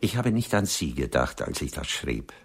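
Alternder Dichter mit sehr tiefer, rauer Stimme. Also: male voice, a bass voice, sing-song, slightly rough, heavily acted. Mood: cool, matter-of-fact. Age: older. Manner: measured, dry, courtly. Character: ageing womanising writer, urbane, unrepentant, coolly ironic. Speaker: radio drama actor. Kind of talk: German radio play dialogue.

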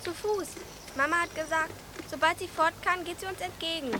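Village girl, high voice, earnest, protective, childlike frankness, radio drama. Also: fairly even intonation, moderate pace. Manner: polite, frank, childlike questioning. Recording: noisy ambience behind dialogue.

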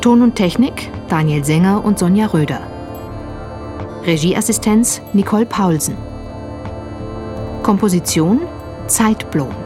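A woman's voice: medium pitch, animated, unhurried, long pauses. A woman reading radio drama credits as an announcer in a neutral, formal way. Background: music.

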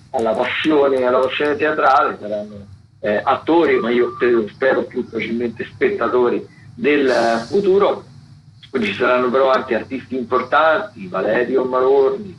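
A muffled radio recording with faint noise carries a man presenting arts listings. His voice sits at a medium pitch. He speaks semi-improvised, pausing to find words and often repeating a phrase before going on. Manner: hesitant, searching for words with repetitions. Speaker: radio host presenting a theatre events roundup. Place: radio studio.

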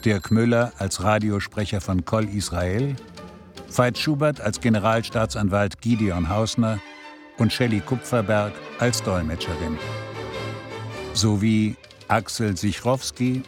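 A man working as an announcer, reading scripted radio drama credits in a formal, deliberate manner. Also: on the low side, faint music behind.

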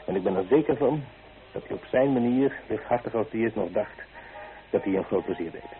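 A kindly, fatherly newspaperman with a fairly low voice, played by an actor in a radio drama. He consoles and reassures, with small thoughtful hums. The old recording sounds narrow and tinny. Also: moderate pace, lightly acted. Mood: concerned, gentle. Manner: reassuring, paternal, murmured hms. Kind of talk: Dutch radio play acting.

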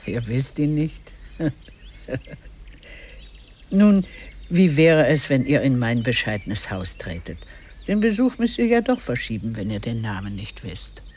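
An actress playing a kindly, chatty, scolding old aunt with a husky voice and courteous, expressive radio drama delivery. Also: female voice, a bass voice, measured pace, pauses often, a little hiss or hum, exaggerated voice. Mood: curious, welcoming. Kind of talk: 1950s German radio drama acting.

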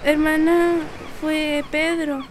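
A woman's voice: high-pitched, level tone, slow pace, a little hiss or hum. A woman playing an affectionate, pleading young sister in a radio drama. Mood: gentle.

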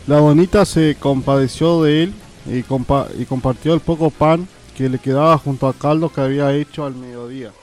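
A man's voice: mid-range voice, steady tone, moderate pace, hardly any pauses, a little mellow, soft background music. A man reading scripted narration aloud as a narrator.

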